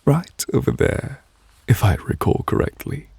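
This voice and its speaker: A man acts out a soft-spoken male witch, deeply in love and quietly possessive. His voice is hushed and slow, with a gravelly fry at its edges. He speaks with gentle, formal refinement, very close and dry, as in a romantic ASMR audio roleplay. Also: animated, no echo, heavily acted. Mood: tender, nostalgic. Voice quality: breathy, hushed. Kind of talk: ASMR romantic roleplay, intimate character acting.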